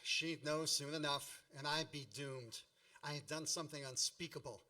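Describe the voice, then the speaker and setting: An actor as bright, wry, mock-grandiose male storyteller. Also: a little high, steady tone, moderate pace. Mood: wry, nostalgic. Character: nostalgic grown man wryly retelling his boyhood mishaps. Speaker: narrator. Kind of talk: storytelling radio play narration. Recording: distant microphone.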